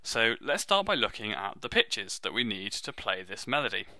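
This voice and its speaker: Low-voiced man with a bright, crisp tone. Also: normal speed, no room sound. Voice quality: low, deep voice. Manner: friendly, self-deprecating, steady explaining while drawing. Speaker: music teacher. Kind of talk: online music theory lesson.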